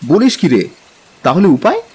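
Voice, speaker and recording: A man playing a young, easily exasperated husband in an audio drama, speaking in an expressive, theatrical way. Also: a little high, normal speed, slightly rough, heavily acted. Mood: worried. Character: young middle-class husband, grumbling, exasperated traveller.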